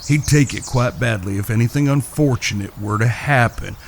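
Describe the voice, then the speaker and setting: Casting: male actor as calm, courteous American cowboy, medium pitch, measured and folksy, for Western radio play. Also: vocal fry, a little hiss or hum, close to an everyday voice. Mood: reassuring. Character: earnest, courteous cowboy, calm peacemaking brother. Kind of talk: Western audio drama acting.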